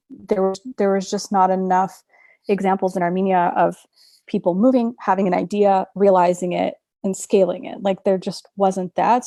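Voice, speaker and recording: A woman's voice: medium pitch, gravelly, dark tone, no echo. A woman in her thirties, raised in Russia, talking chattily with many fillers and restarts as a webinar guest over a video call. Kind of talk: webinar conversation.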